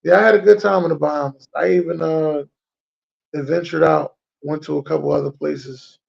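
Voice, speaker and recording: A man's voice: fairly high pitch, steady tone, normal speed, vocal fry, lo-fi sound. A man hosting a casual interview, talking in a loose, slangy way and often repeating his phrases. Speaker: host.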